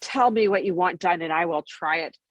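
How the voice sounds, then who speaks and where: A woman's voice: lowish voice, fairly quick, dry recording, lo-fi sound, lightly acted. A woman voicing a small male hobbit in a storybook, prim, formal and easily ruffled. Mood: indignant, dignified. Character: small, offended male hobbit standing on his dignity.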